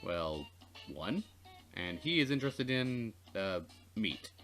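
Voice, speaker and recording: A man reading a visual novel aloud as a Let's Play narrator, expressive and playfully dramatic. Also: fairly low pitch, slow, soft background music.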